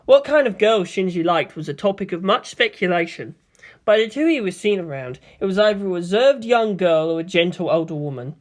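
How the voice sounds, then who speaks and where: A woman reads fiction aloud as a narrator. Her pitch moves around in a very lively way while she keeps to a scripted storytelling delivery. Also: brisk. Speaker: fan fiction reader.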